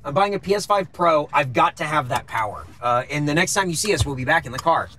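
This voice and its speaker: A man's voice: fairly high pitch, expressive, fast talker, noisy background. A young man joking and laughing with friends in a car, playful and mock-dramatic. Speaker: show regular, writes the fact sheet. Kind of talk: casual banter with friends. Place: inside a car.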